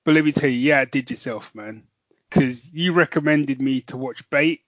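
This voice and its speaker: A man's voice: mid-range voice, moderate pace, no room sound, muffled recording. Laughing man on a podcast, dry sound. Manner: laughing, animated storytelling with "man" and "mate". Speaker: podcast co-host. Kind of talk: casual chat.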